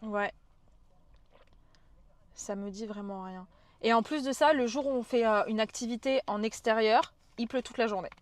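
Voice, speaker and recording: Casting: young woman streamer, slightly high-pitched, loud and chatty, informal slang. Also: moderate pace, pauses often. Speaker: streamer, travel vlogger. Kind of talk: casual live chat with viewers.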